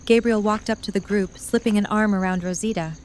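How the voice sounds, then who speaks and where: A woman's voice: medium pitch, steady tone, fairly quick, a little mellow, a little hiss or hum. A woman reading scripted story narration for an audio drama.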